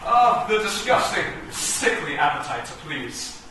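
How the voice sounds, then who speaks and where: A man's voice: fairly high pitch, sing-song, measured pace, pushed and bright, far from the mic, full character voice. A man playing a lovesick chess knight who declaims florid Shakespearean verse in a comic radio play. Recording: echoey, faintly noisy stage recording from afar.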